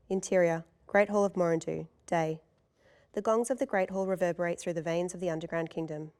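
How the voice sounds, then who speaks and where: A woman's voice: fairly low pitch, a little mellow, smooth. A woman reading scripted stage directions as the narrator of a table read.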